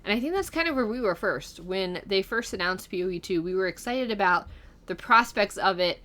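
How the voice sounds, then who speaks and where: A woman's voice: mid-range voice, lively intonation, fairly quick, bright, forceful tone. A middle-aged woman hosting casually, reading comments aloud and musing over them in a conversational way. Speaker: guest host of a gaming channel reading viewer comments.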